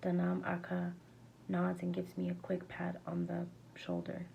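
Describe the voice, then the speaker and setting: A woman reading a verse novel aloud as an amateur, with a creaky, gravelly voice and a halting delivery that sometimes stumbles and rereads words. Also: lowish voice, monotone, dull audio. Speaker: amateur reader. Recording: faint background noise.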